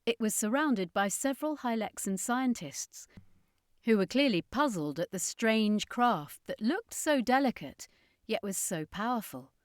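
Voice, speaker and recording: A woman's voice: a little high, animated, measured pace, no room sound. Woman narrating adventure fiction, very dry sound. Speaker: audiobook narrator. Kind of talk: scripted dramatic story narration.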